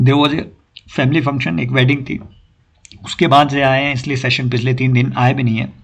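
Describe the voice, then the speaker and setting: A young man with a hoarse throat teaching an exam-prep lecture, repeating key points and checking that his listeners follow. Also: medium pitch, level tone. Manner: mixes Hindi with slow English phrases. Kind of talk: recorded lecture.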